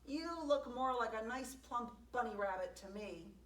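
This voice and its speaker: A woman voicing a sly, hungry male fox who schemes smoothly, in an echoey room. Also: on the high side, lively intonation, a little slow, fairly bright tone, recorded from a distance, a little hiss or hum.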